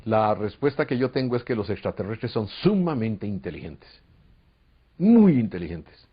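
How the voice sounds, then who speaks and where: A man's voice: fairly low pitch, sing-song, moderate pace, husky. A man from Costa Rica with a Costa Rican Spanish accent, earnestly telling a long story in a recorded interview, with hesitations. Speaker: interviewee, UFO contactee. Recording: dull lo-fi recording.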